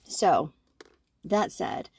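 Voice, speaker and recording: A woman in her forties, co-hosting a podcast, talking through her own thoughts in an animated way and often checking in with "right?". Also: extremely slow, no echo. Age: 40s. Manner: animated, self-reflective, fond of tag questions like "right?". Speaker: podcast co-host. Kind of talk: casual conversation.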